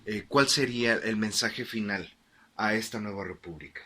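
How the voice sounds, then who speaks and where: A man playing a formal history-program host in a radio drama, speaking with hesitant pauses. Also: on the low side, sharp tone. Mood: formal, courteous. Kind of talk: radio-drama interview hosting.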